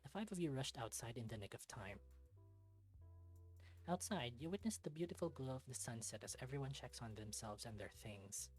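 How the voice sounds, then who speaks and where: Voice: medium pitch, expressive, normal speed, husky, faint music behind. A let's-play host reading a visual novel aloud, moving between storybook-like narration and casual commentary.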